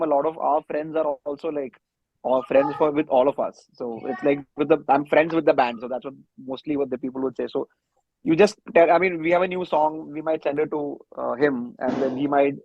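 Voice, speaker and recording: A man talking casually in an interview, halting as he thinks aloud. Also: on the high side, no echo. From India. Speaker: band musician being interviewed. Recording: slightly dull, close dry sound.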